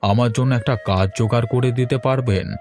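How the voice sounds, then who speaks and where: A man's voice: mid-range voice, normal speed, a little mellow, natural voice. A man voicing a weary, resigned elderly father-in-law in cartoon dialogue. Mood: weary, polite.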